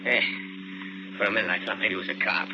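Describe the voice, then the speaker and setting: Menacing cab driver for a male actor: slangy, insinuating thug, lowish voice, muffled noisy old radio-drama sound. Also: steady tone, quick pace, natural voice. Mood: uneasy. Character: menacing working-class male cab driver with criminal secrets. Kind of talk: 1940s radio thriller acting.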